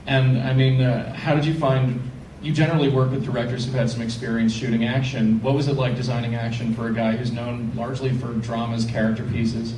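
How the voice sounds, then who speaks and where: A man's voice: mid-range voice, level tone, a little fast, few pauses, darkish tone. A man moderating a screening Q&A, chatty and joking with the guest. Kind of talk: live audience Q&A. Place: theater hall with audience. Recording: echoey hall from far off, noisy.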